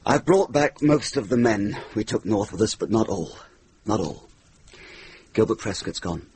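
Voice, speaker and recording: A man's voice: fairly low pitch, lively intonation, measured pace, darkish tone, slight background noise. An actor playing a battle-weary medieval nobleman soldier in a radio drama, curt, earnest and grave. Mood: urgent, grave.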